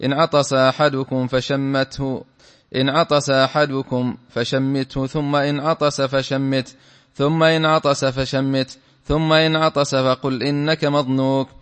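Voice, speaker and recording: A man reads a religious text aloud in a reverberant hall. His voice is smooth and clear, with a flat, even melody and a formal, measured delivery. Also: medium pitch. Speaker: student reader reciting the text.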